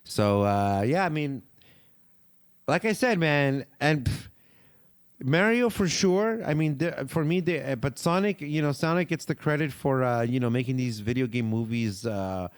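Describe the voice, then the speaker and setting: A man co-hosting a podcast, chatting casually and informally as he thinks out loud. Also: medium pitch, animated, measured pace, clean tone, dry recording. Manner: loose, informal, thinking aloud. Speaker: podcast co-host. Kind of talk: casual pop culture chat.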